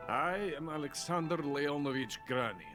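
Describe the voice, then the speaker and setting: An actor playing a proud, bitter, drunken middle-aged Soviet scientist with a Russian accent in a video game. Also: male voice, fairly high pitch, moderate pace, music in the background, natural voice. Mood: boastful. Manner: pompous, boastful, theatrical. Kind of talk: dramatic video game voice acting.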